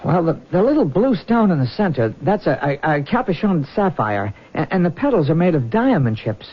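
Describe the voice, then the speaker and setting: An actor playing an earnest young soldier in love in a radio drama, speaking haltingly and eagerly. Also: male voice, on the high side, very animated, slightly rough. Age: young adult. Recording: muffled old radio broadcast.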